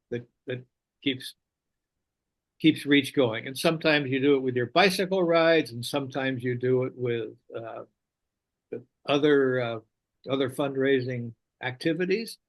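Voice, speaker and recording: Slow, halting man hosting a video call. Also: medium pitch. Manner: halting, with many uhs and repeated words. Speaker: meeting host introducing a speaker.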